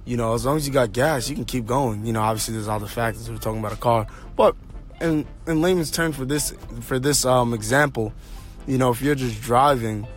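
Guy w a bit of a hoarse voice, medium pitch, rambling motivational podcast talk, music behind him. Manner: halting, rambling, thinking aloud; informal, addresses listeners directly. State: slightly hoarse. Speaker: podcast host. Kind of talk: motivational monologue, casual and unscripted.

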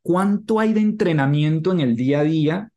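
Slightly high-voiced male interviewer. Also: normal speed, a little mellow. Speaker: interviewer, podcast host. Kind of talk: conversational interview.

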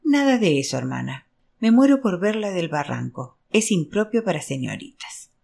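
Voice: medium pitch, very animated, moderate pace, gravelly, a little mellow. A narrator voicing a frank, flirtatious divorced aunt. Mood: brisk teasing.

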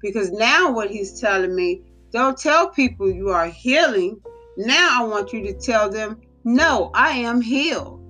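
A woman hosting a devotional podcast, giving an informal sermon in an earnest, exhorting way, repeating phrases for emphasis, her voice slightly hoarse. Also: fairly high pitch, lively intonation, unhurried, pushed and bright, soft background music.

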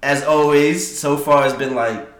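A man co-hosting a casual podcast, slangy, animated and quick to laugh. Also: on the high side. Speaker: podcast co-host. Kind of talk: casual chat. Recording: echoey room with background noise.

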